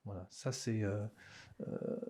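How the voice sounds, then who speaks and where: Mellow-voiced Parisian man, halting. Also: fairly low pitch, steady tone. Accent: Parisian French. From France. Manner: hesitant, halting, many restarts. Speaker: author being interviewed. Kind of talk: casual interview conversation.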